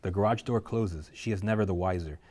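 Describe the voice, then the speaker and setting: A man reading the stage directions aloud at a script table reading, steady and measured. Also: low voice.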